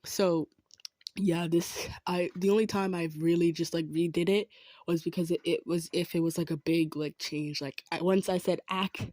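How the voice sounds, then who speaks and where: A woman's voice: fairly low pitch, steady tone, moderate pace, a little mellow, no echo. A woman chatting casually to her listeners as an audio creator, informal, rambling and a bit apologetic.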